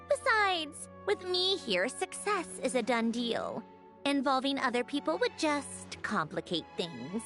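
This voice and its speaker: A woman's voice: sits high, big pitch swings, slow, fairly bright tone, faint music behind, heavily acted. An actress voicing a self-assured, clever young woman in a video game, explaining things in a confident, dryly teasing way. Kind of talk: game voice acting.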